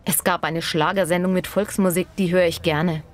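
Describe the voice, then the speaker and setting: Lower-voiced practical working woman played by an actress. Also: steady tone, moderate pace, close to an everyday voice. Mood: matter-of-fact. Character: practical working woman stopped for a street survey. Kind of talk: scripted language-lesson dialogue.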